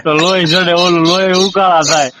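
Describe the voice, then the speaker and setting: A man's voice: sits high, flat delivery, moderate pace. A man with a Saurashtra Gujarati accent chatting casually on a phone call in an earthy, droll, matter-of-fact way. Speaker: call recipient. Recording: noisy, dull lo-fi phone call recording.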